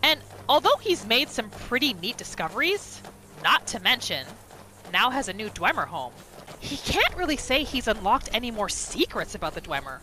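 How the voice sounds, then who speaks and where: Bright, lively woman gamer. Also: on the high side, normal speed, a little hiss or hum. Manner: reactive, narrates her character in third person. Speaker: gaming video host. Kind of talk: roleplay gameplay commentary. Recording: faint game music behind.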